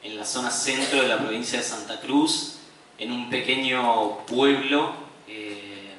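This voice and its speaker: A man from Patagonia with an Argentine accent gives a conference presentation in a halting manner, searching for his words. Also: mid-range voice, fairly even intonation. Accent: Argentine Spanish. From Patagonia, southern Argentina. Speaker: playwright and director giving a conference talk. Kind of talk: conference presentation, semi-spontaneous. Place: echoey room at a conference. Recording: very echoey hall, distant mic, faint noise.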